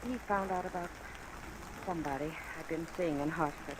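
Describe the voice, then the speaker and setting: A woman's voice: low-pitched, moderate pace, close to an everyday voice. An old radio recording with rain noise carries an anguished woman, played by an actress. She speaks hesitantly and pleadingly, evasive as a suspect in a 1950s detective serial. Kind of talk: 1950s radio drama acting.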